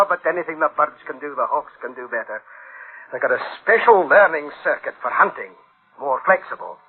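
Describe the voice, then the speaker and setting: An actor playing an eager, chatty male engineer proud of his machines in a radio drama, animated and informal. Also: on the high side, normal speed, natural voice. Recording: tinny, band-limited radio drama recording.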